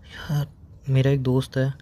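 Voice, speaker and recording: A young man on a phone call. He has been crying, sounds hoarse, and speaks in a subdued, halting way, slow to open up. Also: medium pitch, monotone, mellow. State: tearful, hoarse. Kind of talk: emotional phone conversation.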